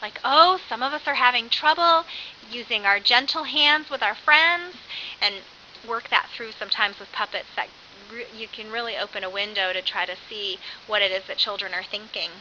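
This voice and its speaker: A dull, lo-fi recording with faint hiss carries a woman's very bright, sharp voice. She lectures as an early childhood instructor in an animated, conversational way. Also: on the high side, normal speed. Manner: animated, with acted-out example phrases.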